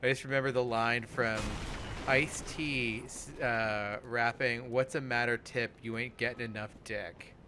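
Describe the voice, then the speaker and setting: A man of about forty chatting casually over gameplay, rambling and halting, with plenty of swearing. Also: mid-range voice, fairly even intonation, bright, forceful tone, a little hiss or hum. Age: around 40. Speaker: host of a gaming let's play podcast.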